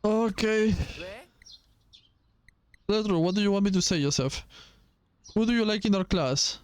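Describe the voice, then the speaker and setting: A man giving casual, halting game commentary and reading dialogue aloud, with a bored, dismissive manner. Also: high-pitched, clean tone. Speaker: gaming video host. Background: music behind the voice.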